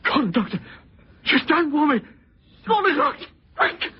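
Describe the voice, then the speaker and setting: A man's voice: very high voice, very animated, measured pace, a little hiss or hum, full character voice. An actor playing a snappish, desperate middle-aged uncle in an old radio drama, blustering as he speaks. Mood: panicked protest. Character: gruff, excitable, desperate uncle. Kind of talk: 1940s radio drama acting. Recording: muffled old radio recording.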